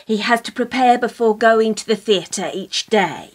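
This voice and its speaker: Earnest, high-voiced British devotee. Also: female voice, a little slow. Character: earnest, devoted, starstruck female theatre fan. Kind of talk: radio play dialogue.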